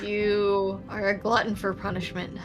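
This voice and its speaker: A woman's voice: mid-range voice, flat delivery, measured pace, rough recording. A woman speaking casually as a player in a tabletop roleplaying game, chatting in character.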